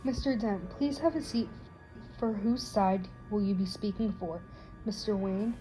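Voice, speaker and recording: A woman acting formal female judge, mellow tone, stern, radio drama, music behind. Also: medium pitch, a little slow, natural voice, poor audio. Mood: stern, businesslike. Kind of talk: amateur radio play acting.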